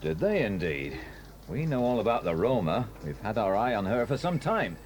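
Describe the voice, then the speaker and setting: An actor with a British accent playing a hearty, fussy police inspector in an audio drama. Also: male voice, fairly low pitch, very animated, normal speed, a little mellow, a little hiss or hum. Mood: pleased. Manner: genial, fussy, with ums. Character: hearty, kindly police inspector, middle-aged man. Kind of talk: 1980s audio drama acting.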